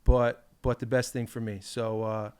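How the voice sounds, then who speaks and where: A man talks reflectively in a casual podcast chat, his voice a bit clogged from a cold. His tone stays smooth and even despite it, and his phrasing is loose and relaxed. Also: medium pitch, moderate pace. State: congested from a cold. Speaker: podcast guest, painter.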